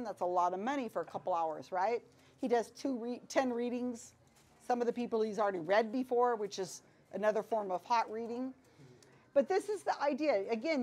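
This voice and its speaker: Woman giving a lively pub talk. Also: fairly high pitch. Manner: animated, conversational, frequent asides. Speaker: guest speaker. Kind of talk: casual lecture to a pub audience. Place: small wood-paneled pub back room.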